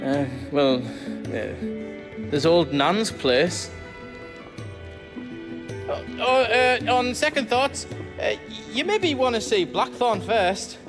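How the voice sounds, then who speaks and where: Slow, hesitant, bumbling helper. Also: male voice, sits high, big pitch swings, music in the background, heavily acted. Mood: flustered, eager. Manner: hesitant, stammering, flustered. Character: timid, bumbling, well-meaning helper in a rebel hideaway. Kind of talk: fantasy game cutscene acting.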